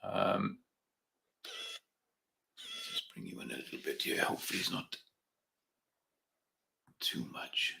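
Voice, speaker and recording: A man's voice: very high voice, huge pitch swings, takes it slowly, gravelly, pauses often, bright, forceful tone, roomy sound, a little hiss or hum. A Welsh man hosting a casual live stream, chatting and rambling to viewers he calls guys. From Wales. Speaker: channel host.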